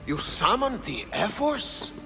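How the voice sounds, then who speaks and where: A haughty Spartan councillor from a video game. The voice is high and creaky with imperious delivery, ringing with long reverb. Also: male voice, sing-song, slow, bright, forceful tone, faint music behind, exaggerated voice. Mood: haughty, impatient. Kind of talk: video game cutscene acting.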